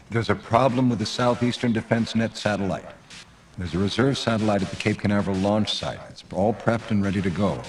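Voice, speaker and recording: An actor playing a grave mission controller who gives serious, urgent briefings in a video game. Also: male voice, sits low, slight background noise, natural voice.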